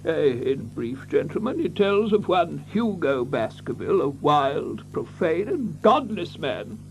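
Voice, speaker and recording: An excitable, fussy country doctor in an audio drama. His high voice swoops up and down as he stammers, dithers and repeats himself theatrically. A faint hiss sits under the old recording. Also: unhurried, creaky, heavily acted, rough recording. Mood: dramatic storytelling. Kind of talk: theatrical radio drama acting.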